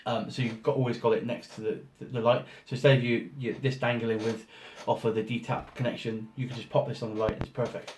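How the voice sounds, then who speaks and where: A man with a hoarse voice from a cold, casually talking through an unboxing with lots of ums and restarts. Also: mid-range voice, little pitch movement, fast, hardly any pauses, darkish tone. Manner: rambling, many ums and restarts. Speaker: photographer doing an unboxing. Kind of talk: casual product walkthrough. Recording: roomy echo, faint noise.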